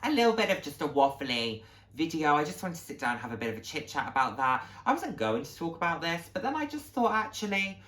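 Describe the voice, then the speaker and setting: A man's voice: fairly high pitch, big pitch swings, quick pace, a bit bright. Male vlogger, late 20s; heartfelt, encouraging, informal delivery with a reflective streak. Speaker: vlog host. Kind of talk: casual heartfelt talk to viewers.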